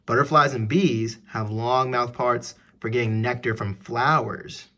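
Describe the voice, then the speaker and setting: A man's voice: medium pitch, steady tone, a little slow, a bit bright. A man reading an educational text aloud for children as a teacher, putting questions directly to the listener.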